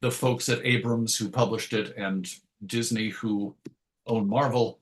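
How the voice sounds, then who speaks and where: A middle-aged man talking reflectively in an interview, articulate and measured, with a modest, self-deprecating way of putting things. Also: on the low side, fairly even intonation. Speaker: interview guest, writer and radio show host.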